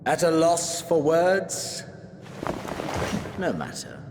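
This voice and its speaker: An actor playing a menacing, cultured prince villain obsessed with battle, speaking with grandiose, formal deliberation in a video game cutscene. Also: male voice, fairly high pitch, sing-song, drawn-out words, noisy background, full character voice. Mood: ominous, composed.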